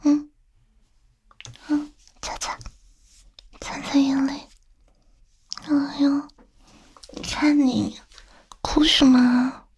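A woman's voice: on the high side, steady tone, noisy background. A woman playing a teasing, coaxing female boss flirting with a younger employee in an intimate, whispered audio roleplay. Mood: tender soothing. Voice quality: breathy, whispery. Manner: slow, coaxing, tender, teasing.

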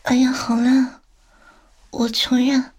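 Woman playing flirty lady boss in an audio roleplay, higher voice, super breathy and whispery, slow and teasing. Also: flat delivery, a little mellow, full character voice. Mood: sheepish confessing. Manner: slow, coaxing, tender, teasing. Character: female boss, teasing and coaxing, flirting with younger employee.

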